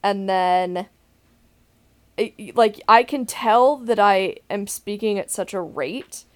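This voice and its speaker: A young woman with a deep voice, hosting a podcast in a candid, rambling way and laughing now and then mid-sentence. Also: takes it slowly. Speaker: podcast host. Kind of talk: casual podcast chat.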